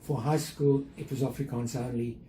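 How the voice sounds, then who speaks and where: Older South African man, dark voice. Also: medium pitch, steady tone, roomy sound, slight background noise. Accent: South African English. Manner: measured, hesitant with many fillers and restarts. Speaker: guest. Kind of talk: conversational interview.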